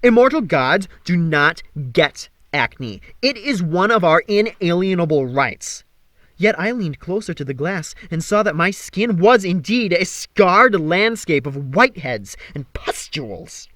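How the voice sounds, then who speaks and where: A man narrates a young-adult novel with dramatic expression. His voice is slightly high and very lively in pitch, and the recording is dry and close. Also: measured pace, no echo. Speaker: amateur audiobook reader.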